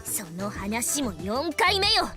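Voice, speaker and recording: A woman voicing a petite young female researcher in an anime-style game, with sharp, impatient retorts. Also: sits high, big pitch swings, normal speed, exaggerated voice. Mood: curt. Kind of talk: anime game voice acting in Japanese. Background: game background music.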